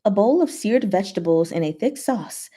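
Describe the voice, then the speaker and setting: A woman's voice: mid-range voice, expressive, moderate pace, warm tone, breathy edge. A woman hosting a casual livestream, playful and informal, chatting and reading stories aloud. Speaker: host of a livestream.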